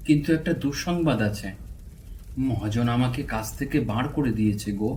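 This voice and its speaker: A man's voice: mid-range voice, moderate pace, darkish tone, slight background noise, close to an everyday voice. A man playing a careworn, well-meaning old village husband and father in a cartoon folk tale, earnest and plaintive. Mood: troubled.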